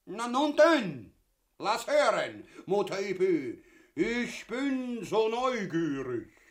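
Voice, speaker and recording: An actor playing a gluttonous, crude, clownish husband in a farcical radio play, with a Viennese Austrian accent and exaggerated, coarse delivery. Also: male voice, mid-range voice. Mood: eager. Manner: drawn-out, exaggerated, coarse delivery with big swings.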